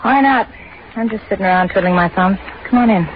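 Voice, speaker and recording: An actress playing a bored, bitter and seductive American housewife, sardonic and blunt, in a 1940s radio drama. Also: female voice, mid-range voice, fast talker, lightly acted. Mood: flippant, bored. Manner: sardonic, blunt, world-weary. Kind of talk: 1940s radio noir acting. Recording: noisy, muffled old 1940s radio recording.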